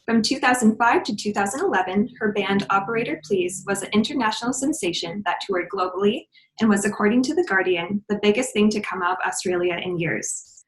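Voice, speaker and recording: A woman's voice: medium pitch, a little fast, a little hiss or hum. A woman hosting a podcast, reading a guest introduction and chatting in an upbeat way. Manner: upbeat, laughs often. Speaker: podcast host. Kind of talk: reading a guest introduction, then casual interview chat. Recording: roomy echo.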